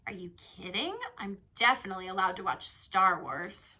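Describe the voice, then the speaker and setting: A woman's voice: mid-range voice, lively intonation, moderate pace, bright, forceful tone, slightly rough, exaggerated voice. A woman voicing a frank, curious, chatty young teen girl in a children's storybook. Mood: curious, friendly. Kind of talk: storybook character reading.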